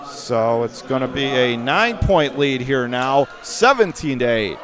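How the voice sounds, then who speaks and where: A man doing live radio play-by-play of a high school basketball game, speaking in clipped running phrases as the announcer. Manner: clipped running phrases, swelling into excited calls during action.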